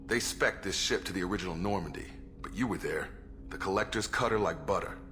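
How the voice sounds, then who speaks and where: Deep-voiced military officer. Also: male voice, fairly quick, fairly bright tone, slight background noise. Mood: businesslike. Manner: plain-spoken, matter-of-fact. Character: steady, proud male ex-military crew officer. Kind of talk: video game character acting.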